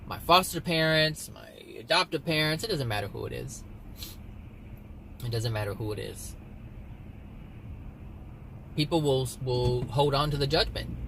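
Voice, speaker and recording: A man's voice: medium pitch, unhurried, creaky, long pauses, rough recording. A man giving a rambling, earnest motivational talk for his vlog, repeating his phrases, recorded in a moving car. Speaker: vlogger. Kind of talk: motivational spiritual monologue. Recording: loud car and traffic noise.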